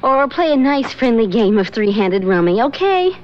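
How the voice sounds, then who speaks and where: A woman's voice: on the high side, moderate pace. An actress playing a nervous, playful young woman in a 1970s radio drama. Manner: animated, laughing, a little jittery. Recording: dull old radio broadcast with faint hiss.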